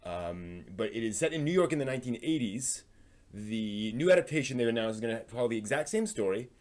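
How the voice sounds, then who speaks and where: A man hosting a live stream, delivering news commentary in an informal, halting way and often trailing off at the ends of phrases. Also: mid-range voice, expressive.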